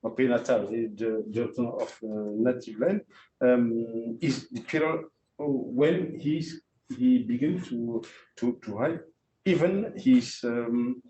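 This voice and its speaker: A man who is a visiting professor, speaking haltingly in a panel conversation with many restarts. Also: medium pitch, mellow, roomy sound, highs cut off, a little hiss or hum.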